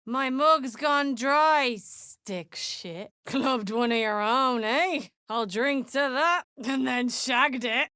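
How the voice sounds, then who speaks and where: Sharp, surly drinking dwarf. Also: female voice, on the high side, clean tone, no room sound, studio quality. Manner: curt, coarse, scornful. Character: surly hard-drinking female duergar. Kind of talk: video game character acting.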